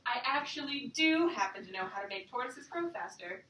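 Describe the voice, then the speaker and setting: A woman's voice: high voice, animated, brisk, a little hiss or hum. A woman playing a kindly, scheming older male neighbor with an earnest storytelling delivery in a staged musical reading. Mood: helpful, secretive. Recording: echoey distant stage recording, loud piano.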